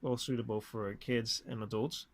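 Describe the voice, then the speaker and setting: A man's voice: mid-range voice, flat delivery, moderate pace. A man hosting a podcast, talking casually as he gives recommendations and introductions. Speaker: podcast host.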